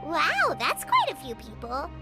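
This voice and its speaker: An actress voicing a tiny, chatty, cheeky female companion sprite in a game, in a squeaky childlike voice. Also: huge pitch swings, over loud music, exaggerated voice. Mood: teasing. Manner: chatty, teasing, quick asides. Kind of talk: game dialogue. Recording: game score playing under the voice.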